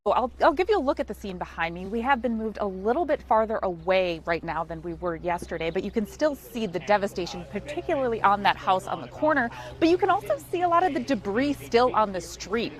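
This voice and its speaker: A woman's voice: medium pitch, animated, fairly quick, hardly any pauses. An American woman giving a live field news report in an explanatory, conversational way.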